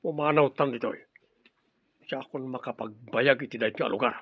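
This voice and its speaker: A man's voice: medium pitch, fairly quick, no room sound, highs cut off. A man with an Ilocano accent playing a domineering, irritable and two-faced crime boss uncle in a radio drama. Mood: sly, curt. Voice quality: gravelly. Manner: domineering, curt.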